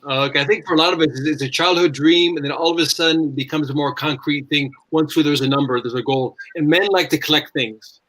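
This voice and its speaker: Male moderator for a web panel, slightly high pitch, chatty, stops and starts. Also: steady tone, fast talker, a bit bright, rough recording. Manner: friendly, asks questions with repeated restarts. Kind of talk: hosting an online panel.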